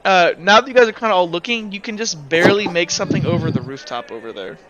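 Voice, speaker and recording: A man narrating a tabletop game as game master, halting often with fillers while he describes the scene. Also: high-pitched, animated, background noise. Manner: rambling, halting with many fillers. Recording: dull lo-fi mic.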